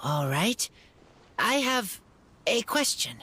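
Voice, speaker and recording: An actor voicing a shy feral boy raised by wolves in a video game, with a husky voice and terse, halting speech. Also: sits high, very animated, bright, forceful tone, slight background noise, heavily acted. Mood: halting, earnest.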